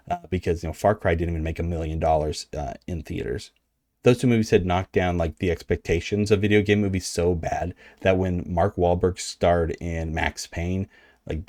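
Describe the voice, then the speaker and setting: A man with a low, mellow American voice gives casual video commentary. He sounds conversational and informal with a wry edge, and the recording is close and dry. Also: dry recording. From United States. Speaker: video host.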